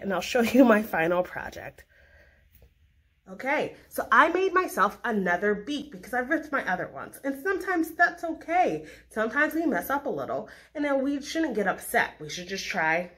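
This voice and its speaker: A woman's voice: fairly high pitch, fairly bright tone. A woman casually presenting a craft demonstration for children in a reassuring, encouraging way.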